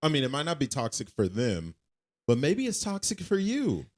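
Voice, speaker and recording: An easygoing man hosts a podcast and backs up his co-host with short, agreeable replies. His voice moves around a lot in pitch while he stays relaxed. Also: moderate pace. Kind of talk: casual chat.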